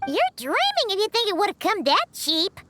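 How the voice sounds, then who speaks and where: A woman voicing a tiny, childlike fairy companion in a video game, cheeky, blunt and teasing, with a squeaky voice. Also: lively intonation, brisk, pushed and bright, full character voice. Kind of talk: video game character dialogue. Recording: music behind the voice.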